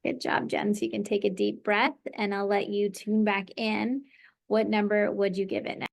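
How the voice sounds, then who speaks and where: A woman's voice: medium pitch, flat delivery, normal speed, gravelly. Encouraging woman guiding a tapping session. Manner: encouraging, leads phrases for repeating. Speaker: tapping practitioner.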